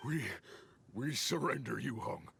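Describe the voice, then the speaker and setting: An actor playing a gruff, blustering bandit boss with rough, slangy tough-guy speech in a video game. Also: medium pitch, animated, a little slow, fairly bright tone, full character voice. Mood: defeated, grudging. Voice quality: gravelly, rough.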